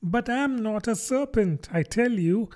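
A polite little girl, as a male narrator puts her on, speaks earnestly in a raised, light voice. She sounds careful and a bit doubtful, like a character in a storybook. The voice sits high above a grown man's usual pitch. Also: expressive, measured pace, darkish tone. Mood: protesting. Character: truthful, puzzled little girl. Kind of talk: audiobook character voice.

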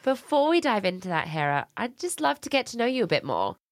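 A woman's voice: fairly high pitch, very animated, fairly quick, dry recording, studio quality. A woman hosting a podcast, reading a scripted introduction and asking interview questions with enthusiasm. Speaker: podcast host.

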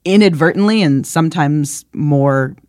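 A woman singer co-hosting a podcast in unhurried, expressive conversation. She speaks slowly in a very low register and laughs easily. The recording is dry and close-miked. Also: no echo. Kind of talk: casual conversational podcast chat.

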